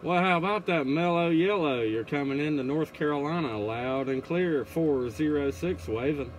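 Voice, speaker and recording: A man with a fairly high voice works a CB radio from an indoor radio room. He calls and replies in short operator phrases. Noticeable static and hiss run through the recording. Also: normal speed.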